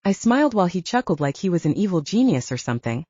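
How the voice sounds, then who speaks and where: Mellow, expressive woman narrating fiction. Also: fairly low pitch, fast talker, husky, no echo, dull audio. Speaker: audiobook narrator. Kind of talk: scripted first-person fiction narration.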